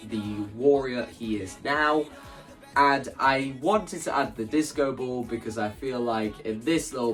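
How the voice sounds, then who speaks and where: A man's voice: medium pitch, a bit bright. A man talking casually and enthusiastically through his own creative ideas in an explanatory vlog, emphatic and often repeating phrases for stress. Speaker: video creator presenting own stage play.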